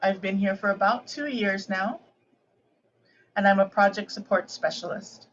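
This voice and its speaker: A woman's voice: medium pitch, steady tone, moderate pace, dull audio, slight background noise. A woman introduces herself in short, plain sentences on a video call. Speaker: project support specialist and interpreter. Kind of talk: webinar self-introduction.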